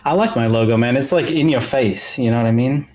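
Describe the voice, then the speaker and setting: A man's voice: mid-range voice, a little fast, darkish tone, soft background music. A man chatting casually in a slangy, joking way on a talk show. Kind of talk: casual chat.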